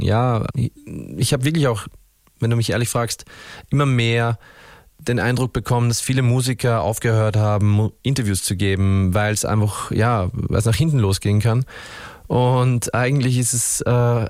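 A man's voice: on the low side, darkish tone, no echo, clean studio sound. A man with an Austrian accent, a musician answering radio interview questions in a thoughtful, rambling way with many restarts. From Upper Austria. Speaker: musician guest.

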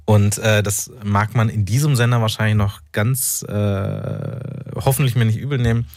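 A man from North Rhine-Westphalia, an author and journalist, speaking as a radio interview guest in a thoughtful, halting way with many fillers. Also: lowish voice, steady tone, a little mellow, no room sound, studio quality.

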